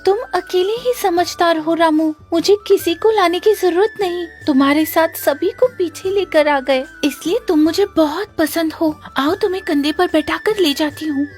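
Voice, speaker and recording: A brave young shepherd girl from a cartoon story, voiced by a woman, gentle yet determined. Her voice is very high, with soft music underneath. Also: normal speed, few pauses. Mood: affectionate. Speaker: voice actor.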